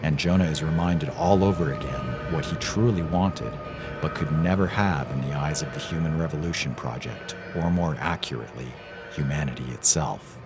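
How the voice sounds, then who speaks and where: Man, very deep bass voice, dramatic sci-fi narration, prominent music behind. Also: normal speed. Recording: music clearly underneath.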